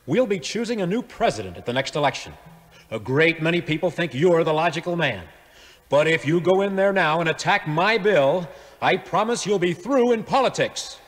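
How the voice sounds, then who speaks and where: An actor playing a scheming military major turned politician, flattering and oily, with a husky voice in a 1950s audio play. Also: male voice, fairly high pitch, moderate pace. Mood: threatening. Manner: flattering, unctuous.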